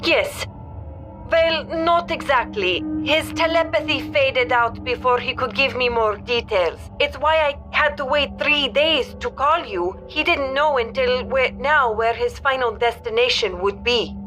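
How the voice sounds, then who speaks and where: Audio drama role: anxious, hesitant young girl, high-pitched and slow, pleading. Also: fairly bright tone, faint music behind.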